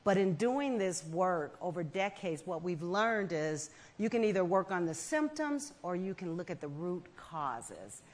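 A woman in her fifties, a foundation head, speaking in a measured, earnest way as a guest on an indoor festival stage with a lapel mic. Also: fairly low pitch, very animated. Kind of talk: onstage festival conversation.

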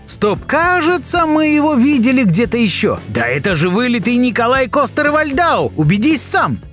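A man's voice: very high voice, huge pitch swings, moderate pace, fairly bright tone. A man reading scripted narration for an entertainment video, playful and exclamatory, speaking straight to the viewer. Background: background music.

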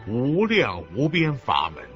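A man reading lines in a scripted religious radio drama with deliberate, emphatic delivery. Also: medium pitch, huge pitch swings, a bit bright, heavily acted. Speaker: supporting reader. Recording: muffled audio with music bed. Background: background music.

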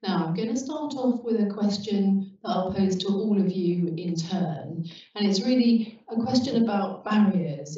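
A woman's voice: on the low side, warm tone. A woman moderating a university panel, polite and welcoming as she hands questions to the speakers. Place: university seminar room with audience. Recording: very echoey room, far from mic, dull.

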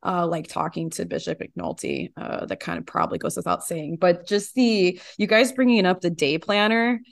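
A woman's voice: on the low side, fairly even intonation, normal speed, creaky, dry recording. A woman hosting a podcast interview, chatting informally and reacting in rambling, loosely connected phrases. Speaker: podcast host. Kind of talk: casual podcast interview chat.

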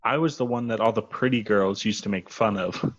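A man reads the part of a self-conscious woman journalist, earnest and easily exasperated, in a comedy script. The voice is low and husky, with halting delivery, over muffled video call audio. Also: close to an everyday voice. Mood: hesitant confession. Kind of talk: comedy script table read.

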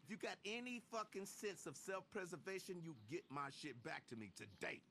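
An actor playing a commanding middle-aged American music mogul in a video game, blunt and profane. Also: male voice, sits high, expressive, moderate pace, vocal fry, sharp tone, slight background noise, exaggerated voice. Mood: angry, commanding. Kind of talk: video game cutscene acting.

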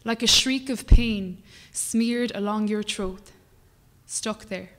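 A young Irish woman poet reading her work aloud in a measured, rhythmic way at a lectern microphone, at times dropping to a whisper. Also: mid-range voice, a bit bright, big-room sound. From Ireland. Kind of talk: poetry reading. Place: small brick-walled performance venue, indoors. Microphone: stand mic at a lectern.

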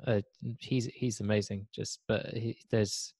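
Man, 30s, from Switzerland, podcast host, low voice, halting repeats, video call, dry sound. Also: fairly even intonation, darkish tone, breathy edge, no room sound. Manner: halting, repeats words as he searches. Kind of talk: casual conversation. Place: indoor room. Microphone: video call, desk mic.

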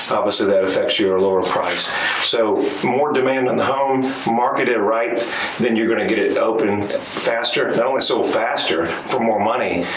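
Radio host, thirties, low and a bit hoarse, chatty pitchman delivery; roomy room, off-mic, noisy. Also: a bit bright. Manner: conversational, upbeat sales-style delivery with fillers. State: slightly hoarse, froggy voice. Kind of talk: live radio talk show monologue. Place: small radio studio, indoors. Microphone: studio mic on boom, wearing headphones. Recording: roomy, distant studio sound with noise.

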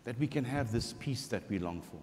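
A middle-aged man, a parish priest, preaching a sermon in a large church with emphatic pauses and voiced scripture quotes. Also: mid-range voice, lively intonation, darkish tone. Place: large church sanctuary before the altar. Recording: long church reverb.

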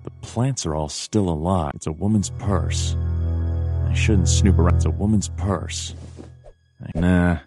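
Deep deadpan noir detective. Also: male voice, measured pace. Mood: musing, deadpan. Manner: deadpan, terse, dry. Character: brooding anthropomorphic bird detective, adult male, dry and weary. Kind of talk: noir adventure game narration. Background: jazzy background music.

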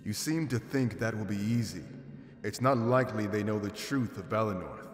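A man's voice: on the low side, animated, moderate pace, close to an everyday voice. A man playing a skeptical, weary wolf-like mercenary fighter in a fantasy video game. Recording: echoey reverb over game music.

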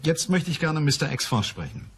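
An actor playing a dry, commanding private detective in a crime radio play, matter-of-fact and wry. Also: male voice, on the high side, very animated, a little fast, slight background noise. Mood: businesslike. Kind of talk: German crime radio play, dialogue and narration.

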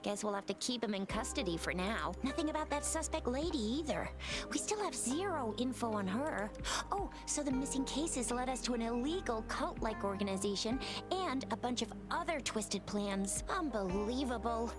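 A woman playing a bright, eager young patrol officer. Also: fairly high pitch, moderate pace, over music, lightly acted. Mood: upbeat. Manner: lively, eager, chatty.

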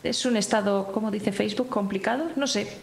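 A woman's voice: medium pitch, fairly quick, gravelly, reverberant, a little hiss or hum. A woman playing a tabletop roleplaying game, casually thinking aloud and hesitating. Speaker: player. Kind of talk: casual tabletop roleplay, describing her character's actions.

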